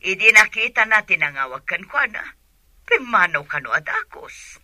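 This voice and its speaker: A woman's voice: medium pitch, sing-song, moderate pace, sharp tone, dry recording, exaggerated voice. A Filipino woman playing an exasperated, gossipy middle-aged mother in a radio drama. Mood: exasperated, confiding. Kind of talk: Ilocano radio drama acting.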